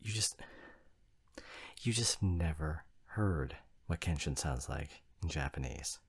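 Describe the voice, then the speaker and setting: A man playing an exasperated, flustered, snobbish young anime fan boyfriend in an ASMR roleplay, arguing in halting bursts with repeated words. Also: very deep voice, expressive, vocal fry, husky, full character voice. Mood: indignant, passionate. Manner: halting, repeats words, sighing emphatic rant. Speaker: audio roleplay performer.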